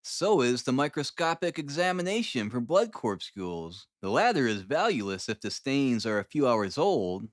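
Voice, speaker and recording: A man's voice: medium pitch, sing-song, normal speed, hardly any pauses, no echo, clean studio sound, lightly acted. A man voicing an eager, proud detective-scientist in an audiobook, enthusiastic and exclamatory. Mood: excited, proud. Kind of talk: audiobook character acting.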